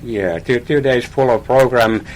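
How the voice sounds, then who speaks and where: A man's voice: mid-range voice, unhurried, slight background noise, poor audio. A man from Finland, a guest in a radio interview, talking about his hobby with many small hesitations and repeated words.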